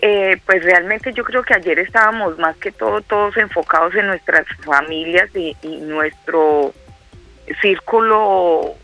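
A woman's voice: fairly low pitch, unhurried, lo-fi sound, soft background music. A middle-aged Colombian woman speaking by phone, hesitant, with many fillers and repeated words. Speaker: guest caller. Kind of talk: phone interview.

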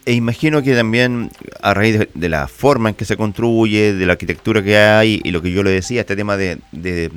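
Smooth-voiced Chilean radio host. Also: male voice, lowish voice, faint music behind. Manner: thoughtful, halting questions with restarts. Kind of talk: radio interview.

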